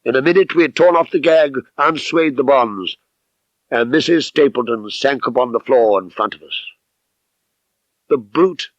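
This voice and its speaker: A man reading a mystery novel as an audiobook narrator, with measured, precise, dramatic literary delivery. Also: medium pitch, dry recording. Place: dry studio. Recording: slightly dull 1970s audiobook recording.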